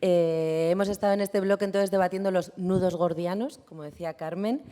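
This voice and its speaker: A woman moderating an assembly, summarizing the debate briskly in a conference hall. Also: lowish voice, fairly even intonation, dark tone. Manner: brisk, organizing. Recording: reverberant hall.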